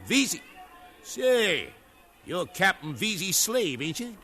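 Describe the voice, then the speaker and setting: An actor playing a shifty, bullying carnival barker in 1950s radio drama, with sing-song hawking patter. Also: male voice, sits high, very animated, slow pace, pushed and bright, heavily acted. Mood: suspicious. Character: shifty bullying male carnival barker, wheedling then cowed.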